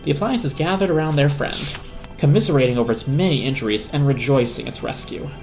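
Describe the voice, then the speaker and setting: A man's voice: fairly high pitch, fast, a little mellow, soft background music, low-quality recording. A man narrating a children's story as a dramatic reading.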